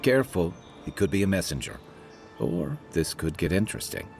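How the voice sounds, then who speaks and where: Deep-voiced, wry adventurer. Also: male voice, big pitch swings, brisk, vocal fry, darkish tone, soft background music, full character voice. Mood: wary, intrigued. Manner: dry, sardonic, colloquial. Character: wry, wary male travelling companion, seasoned and colloquial. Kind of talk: naturalistic game voice acting.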